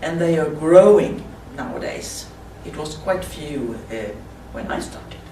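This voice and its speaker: A Swedish woman with a Swedish accent, speaking halting non-native English in a slide presentation at a conference. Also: low-pitched, expressive, mellow. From Sweden. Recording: roomy, distant mic with faint hiss.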